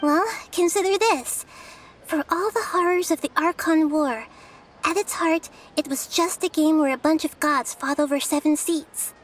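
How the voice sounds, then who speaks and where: A woman voicing a small, girlish deity in a video game, a wise and gently playful teacher with a measured, explanatory way of talking. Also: high voice, slight background noise, close to an everyday voice. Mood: reflective. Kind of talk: video game cutscene acting.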